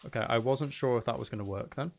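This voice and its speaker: A man's voice: medium pitch, fast talker, vocal fry, noisy background. A man giving casual live commentary while streaming a video game, muttering wry, exasperated asides to himself.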